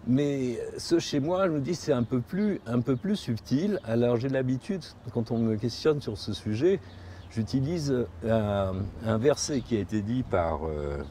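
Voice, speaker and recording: A man whose first language is Spanish, speaking in a reflective documentary interview, thoughtful and halting, often repeating and rephrasing as he searches for words. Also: medium pitch, lively intonation, mellow, a little hiss or hum.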